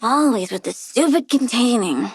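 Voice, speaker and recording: A woman's voice: a little high, animated, normal speed, husky, no room sound. A woman voicing a bratty, petulant young girl villain with plant powers in a video game, talking in a childish, cheeky way. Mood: sulky, menacing.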